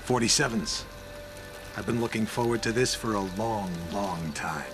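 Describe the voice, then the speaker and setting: A man's voice: on the low side, moderate pace, music in the background, close to an everyday voice. An actor playing a menacing, obsessive man savoring a long-awaited revenge, theatrically, in a video game. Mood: gloating. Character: menacing, obsessive male hunter relishing revenge. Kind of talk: video game cutscene acting.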